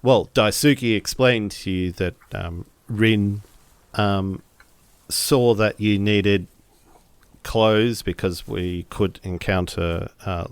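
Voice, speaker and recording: A man's voice: on the low side, takes it slowly, smooth, no echo. A man playing a tabletop role-playing game, narrating casually and hesitantly as he pieces his sentences together.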